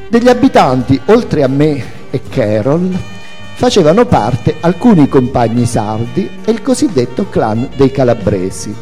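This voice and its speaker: A man reading a memoir aloud as its author, in an expressive, theatrical storytelling manner. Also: on the high side. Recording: echoey hall with music. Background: music.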